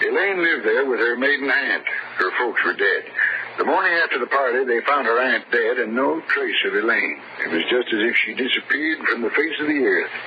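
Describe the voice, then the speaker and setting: An actor playing a grave, folksy small-town sheriff who narrates an old radio horror drama in a deliberate, ominous way. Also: male voice, mid-range voice, animated, background noise, close to an everyday voice. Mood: grim. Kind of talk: 1940s-50s radio horror acting. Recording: muffled old radio, loud music behind.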